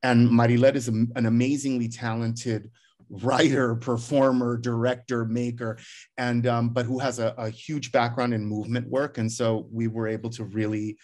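A man's voice: medium pitch, level tone, normal speed, darkish tone. Middle-aged man, theater director, reflective, rambling, video call from home. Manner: reflective, many restarts and "you know"s. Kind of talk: casual video call conversation. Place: living room at home.

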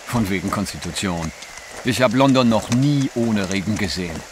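Guy playing grumbly french reporter, lowish voice, teasing, rain noise around him. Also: lively intonation, moderate pace, lightly acted. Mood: grumbling, teasing. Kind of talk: German radio play acting. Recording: noisy rain backdrop.

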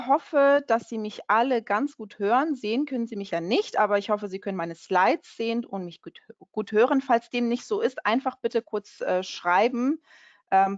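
A woman hosting an online webinar, friendly and chatty, often pausing on fillers and restarting her sentences. Her mid-range voice comes through a slightly dull web-call line. The sound is dry and close, with no room echo. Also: medium pitch. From Portugal. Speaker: webinar host, company country manager. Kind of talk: webinar introduction over slides.

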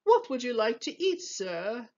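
A woman voicing a fussy, flustered male headwaiter in a children's storybook, bossy and exclaiming in drawn-out words. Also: on the high side, sing-song, a little mellow, muffled recording, voice pushed up. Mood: fussy, questioning.

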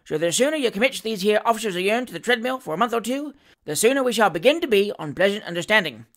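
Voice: medium pitch, animated, fast talker, pitched above the performer's own voice, close to an everyday voice. A storybook reader voicing a cheeky, glib male manservant with a Cockney accent and a familiar manner. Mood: breezy, familiar.

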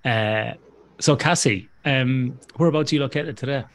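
A man's voice: mid-range voice, normal speed. A middle-aged man hosting a podcast interview over a video call on a headset mic, chatty and joking. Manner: chatty, joking, restarts often. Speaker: podcast host. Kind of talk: casual interview chat. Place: home office.

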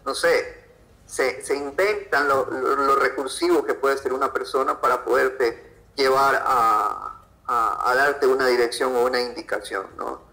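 A man's voice: medium pitch, fairly even intonation, measured pace, vocal fry, bright, forceful tone, poor audio. A man with an Ecuadorian accent, answering conversationally as an interview guest. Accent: Ecuadorian Spanish. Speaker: podcast guest, freelance photographer.

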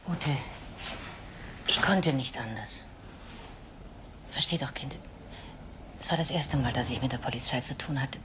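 Sorry overwhelmed mom in radio crime play, faltering, noisy sound. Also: female voice, rumbling low, a little slow, pauses often. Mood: remorseful. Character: frail, apologetic mother, overwhelmed and honest. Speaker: actor.

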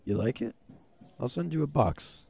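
An actor playing a cunning, domineering rich old businessman in a radio drama, gravelly and condescending. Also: medium pitch, animated, warm tone, heavily acted. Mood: calculating, smug. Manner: deliberate, smooth-talking, condescending.

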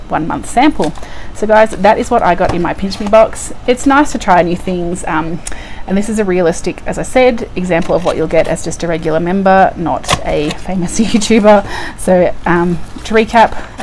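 A young woman with a hoarse, croaky voice from a cold, chatting casually to the camera at home with lots of ums. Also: on the low side, a little mellow, slight background noise, low-quality recording. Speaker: couponing vlogger. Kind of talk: casual unboxing vlog. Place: indoors at home by a window. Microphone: lapel mic.

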